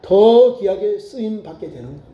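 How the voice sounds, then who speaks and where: Animated high-voiced preaching pastor. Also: male voice, normal speed, muffled recording, a little hiss or hum. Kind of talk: sermon. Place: church sanctuary. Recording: echoey church room.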